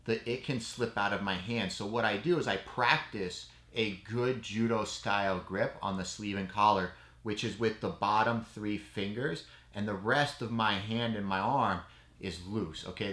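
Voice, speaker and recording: Low-pitched male coach, relaxed how-to tone, recorded on a camera mic in a small echoey room. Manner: casual, explanatory, frequent "okay?" check-ins. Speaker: judo coach. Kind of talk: how-to demonstration. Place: small storage room with mats, indoors.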